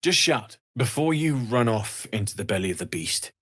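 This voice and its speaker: A man voicing a kindly, earnest, world-weary blacksmith in a video game, speaking softly and sincerely. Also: lowish voice, big pitch swings, moderate pace, husky, heavily acted. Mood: earnest, wistful. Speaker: video game character, smith and merchant.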